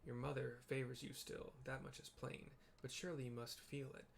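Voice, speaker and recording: A man's voice: mid-range voice, flat delivery, brisk, gravelly, slight background noise, natural voice. A man reading aloud a video game's solemn, cryptic emissary of an ancient god, in formal, portentous phrasing. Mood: solemn, foreboding. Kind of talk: reading aloud game dialogue. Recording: music behind the voice.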